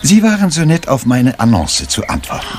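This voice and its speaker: An actor playing a fussy, courteous detective in a German radio crime drama, with a pompous, polite manner. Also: male voice, medium pitch, huge pitch swings, brisk, crowd noise. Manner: polite, pompous, peppered with French words. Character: fussy, courteous Belgian detective, middle-aged man.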